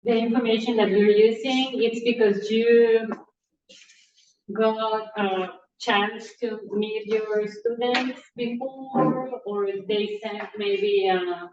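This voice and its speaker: Woman asking a question real slow, lots of ums, far off in an echoey room. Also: fairly high pitch, steady tone, darkish tone, a little hiss or hum. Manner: hesitant, with many fillers. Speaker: teacher trainee. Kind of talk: classroom question. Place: classroom. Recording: roomy, distant, slightly dull.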